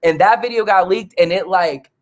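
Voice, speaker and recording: Man, high-pitched, casual podcast guest, blunt, swearing freely. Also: normal speed. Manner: blunt, profane, animated. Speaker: guest musician.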